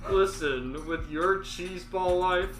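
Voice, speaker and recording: A man's voice: high-pitched, takes it slowly, fairly bright tone. A man voicing a callous, smug male mob hitman with a folksy drawl in an amateur table reading. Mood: smug menace. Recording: roomy echo with music behind.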